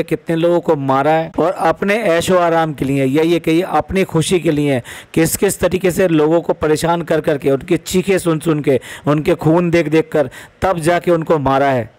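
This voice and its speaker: A man's voice: on the high side, darkish tone. A man giving opinionated reaction commentary in an emphatic way, repeating phrases and posing rhetorical questions. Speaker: commentary vlogger.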